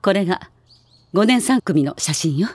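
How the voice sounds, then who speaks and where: A woman playing a gentle but guarded schoolteacher who recalls former pupils in an audio drama. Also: on the low side, lively intonation, unhurried, slight background noise. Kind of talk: Japanese audio drama acting.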